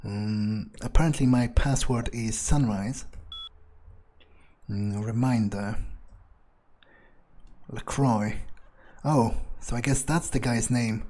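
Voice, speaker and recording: Cynical vampire ex-gangster , played by a male gamer: husky, unhurried, muttering sardonic inner thoughts. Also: mid-range voice, darkish tone. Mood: musing. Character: cynical crude male gangster turned vampire, foul-mouthed. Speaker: gamer playing in character. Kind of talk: improvised in-character thinking aloud.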